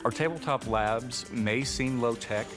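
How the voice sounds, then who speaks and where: A man's voice: mid-range voice, unhurried, music in the background. A man working as an instructor, explaining things step by step in a methodical, reassuring way. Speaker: air traffic instructor. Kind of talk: hands-on teaching explanation.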